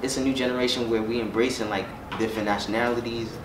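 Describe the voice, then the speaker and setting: Halting man telling a story in an echoey room. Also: mid-range voice, level tone. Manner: halting, meandering anecdote. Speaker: panelist, clothing business owner. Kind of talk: casual group discussion. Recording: echoey room, distant mic, noise.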